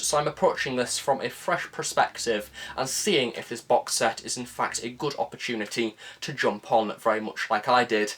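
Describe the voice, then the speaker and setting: Male reviewer, medium pitch, enthusiastic and chatty, scripted delivery with emphatic stresses and self-mocking asides. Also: a bit bright. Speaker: review channel host.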